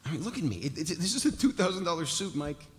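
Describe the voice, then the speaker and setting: An actor playing a cocky, fast-talking young drug dealer who stammers when flustered, in a live table read of a TV drama. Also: male voice, fairly high pitch. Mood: cocky persuasion. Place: large hall, live festival stage. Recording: reverberant hall, steady background hiss.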